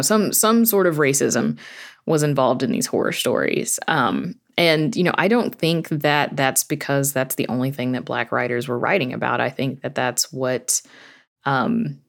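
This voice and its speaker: A woman speaking as a guest in a conversational interview, informal and reflective, telling things like stories. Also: a bass voice. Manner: informal, reflective storytelling with quoted asides. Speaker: guest, horror fiction writer and podcast creator.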